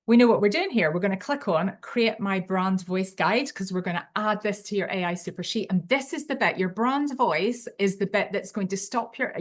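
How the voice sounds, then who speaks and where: A woman with a Belfast accent giving a live online tutorial, talking in an enthusiastic, chatty and unpolished way over a computer microphone. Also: mid-range voice. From Northern Ireland. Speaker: webinar presenter.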